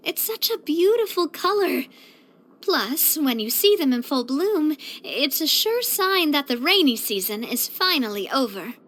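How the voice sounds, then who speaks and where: A woman voicing a bright, earnest, sociable young female leader in a video game, chatty and expressive. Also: sits high, a little slow, full character voice. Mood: cheerful, fond. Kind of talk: video game character voice lines.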